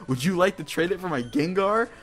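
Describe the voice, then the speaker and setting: A man's voice: high voice, lively intonation, a little fast, fairly bright tone. A man hosting a casual video game playthrough, rambling, exclaiming and poking fun at himself as he goes. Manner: rambling, self-mocking, exclaiming. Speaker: gaming video host. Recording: music behind the voice. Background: video game music.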